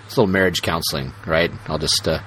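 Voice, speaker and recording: A man's voice: a bass voice, fast, a bit bright, low-quality recording. A man co-hosting a casual chat show, joking and thinking aloud in a conversational way, with some background noise. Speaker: podcast co-host.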